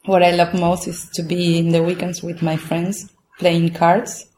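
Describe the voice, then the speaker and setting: A woman from Argentina, a student talking in a relaxed way. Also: fairly low pitch, measured pace, slight background noise. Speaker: language student. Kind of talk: casual podcast interview.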